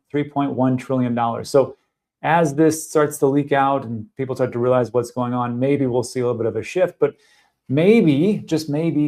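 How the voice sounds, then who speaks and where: A man's voice: medium pitch, darkish tone. Casual man commenting on markets. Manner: casual, thinking aloud, hedging with fillers. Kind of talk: live-stream commentary.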